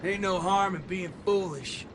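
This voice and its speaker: An actor voicing a brash, gruff cowboy gunslinger with a Western American drawl and folksy swagger in a video game. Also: male voice, sits high, a little slow, noisy background. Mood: folksy, riled. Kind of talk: video game character acting. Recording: loud game music behind the voice.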